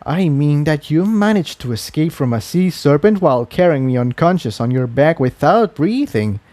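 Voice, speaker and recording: A man voicing a modest young male unicorn pony, soft-spoken and earnest, in a storybook reading. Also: fairly high pitch, lively intonation, normal speed, dry recording, close to an everyday voice. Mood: gentle reassurance.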